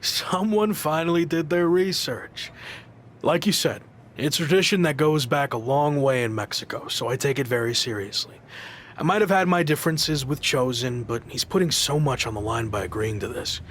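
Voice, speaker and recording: A man's voice: medium pitch, normal speed, fairly bright tone, slight background noise, lightly acted. A man playing an earnest underdog pro wrestler, a former big-league talent, in a scripted video game cutscene.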